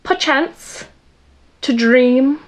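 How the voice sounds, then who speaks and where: A young woman with a loud voice chatting casually in her bedroom while doing a makeup tutorial, rambling and poking fun at herself. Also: high-pitched, drawn-out words. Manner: chatty, rambling, self-deprecating, talks to viewers while working. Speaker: makeup vlogger.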